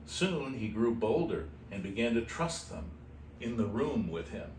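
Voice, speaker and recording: A man reads a children's storybook in an expressive way, dropping in casual asides. His voice sits in the middle register, a little away from the mic in an echoey room with faint background noise. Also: mid-range voice, measured pace, distant mic. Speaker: host reading a children's book aloud. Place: animal shelter room with kittens.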